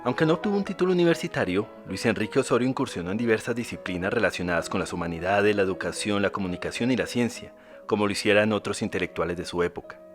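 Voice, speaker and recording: A man's voice: on the low side, fast. A man reading scripted documentary narration. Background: guitar music.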